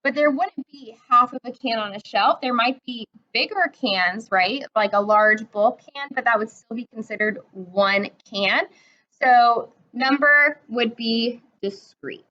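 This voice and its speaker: Twenty-something woman teaching math, keeps asking "right?", kinda high voice, muffled recording. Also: a little slow. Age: 20s. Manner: explains step by step, checks in with frequent "right?". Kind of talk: recorded classroom lesson. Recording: dull lo-fi recording.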